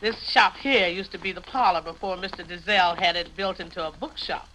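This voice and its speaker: An actress playing a hospitable, chatty American housekeeper with homely speech in an old radio drama. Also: female voice, on the low side, brisk, bright, forceful tone. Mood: cheerful, hospitable. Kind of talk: 1950 radio acting. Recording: noisy old 1950 radio recording.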